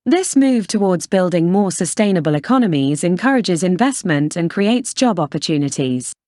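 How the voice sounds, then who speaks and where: A woman reading a scripted passage aloud with a formal, measured delivery. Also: lowish voice, expressive, warm tone, no room sound, studio quality. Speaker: read-aloud model reader.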